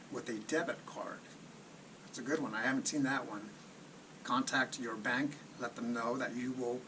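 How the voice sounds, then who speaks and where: A man giving casual, off-the-cuff commentary as a video host, rephrasing as he goes and posing rhetorical questions with plain advice. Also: medium pitch. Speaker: personal finance video host. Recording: distant mic, clearly noisy background.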